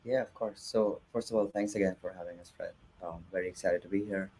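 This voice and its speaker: A man speaking as a guest product manager in a webinar interview, soft-spoken and hedging often. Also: low voice, fairly even intonation, fast, slight background noise, rough recording.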